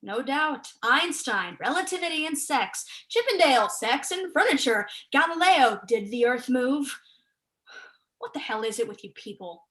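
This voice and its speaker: A young woman playing a sharp-tongued, guarded writer in a staged play reading, delivered in a dry, exasperated, cutting way. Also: high voice, lively intonation, moderate pace. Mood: scornful, exasperated. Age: young adult. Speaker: actor in a student staged reading.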